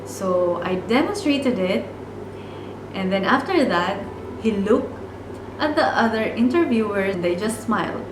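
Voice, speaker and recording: A woman's voice: medium pitch, expressive, unhurried, background noise. A woman from the Philippines in her thirties with a Filipino accent, telling a personal story in an earnest, reflective way as a vlogger. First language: Bicolano. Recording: echoey room with music.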